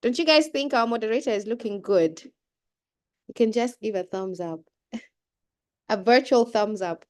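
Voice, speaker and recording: A woman's voice: a little high, normal speed, long pauses, a little mellow. A young woman with a cold, giving a chatty, informal keynote talk over a video call, with many fillers and restarts. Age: young adult. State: has a cold. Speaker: keynote speaker. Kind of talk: casual speech on a video call.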